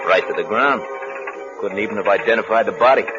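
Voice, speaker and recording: A man's voice: fairly low pitch, quick pace, lightly acted. An actor playing a chatty, folksy working man who makes colloquial small talk in a 1950s radio drama. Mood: gossipy, easygoing. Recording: dull vintage radio recording with music.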